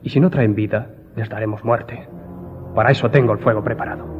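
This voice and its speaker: An actor playing a fierce, devout guard chief ready to die, solemn and menacing, in a radio drama. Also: male voice, lowish voice, fairly even intonation, a little fast, lightly acted. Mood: defiant. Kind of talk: Spanish radio drama acting. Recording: dull lo-fi audio, faint music.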